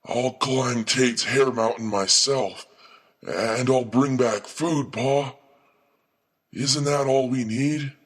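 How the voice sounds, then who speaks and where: A man acting a slow, husky, stubborn boy. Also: medium pitch. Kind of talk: audio drama acting.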